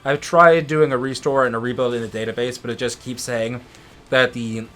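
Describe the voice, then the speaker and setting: A man's voice: medium pitch, steady tone, normal speed, a bit bright. A man co-commentating a let's play in casual chat, with a geeky, opinionated and casually profane way of talking. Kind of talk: casual chat over gameplay. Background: game music.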